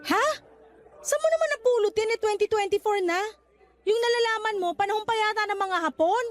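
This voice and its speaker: A woman's voice: very high-pitched, brisk, soft background music. An actress in a radio drama playing a hurt, guarded young mother answering her ex with exasperated reproach. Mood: exasperated, sarcastic.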